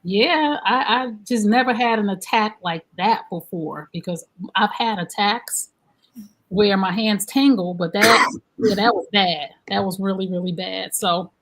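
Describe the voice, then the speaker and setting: A woman, hosting a live-streamed relationship talk show in a chatty, animated way. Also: mid-range voice, unhurried, low-quality recording. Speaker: host.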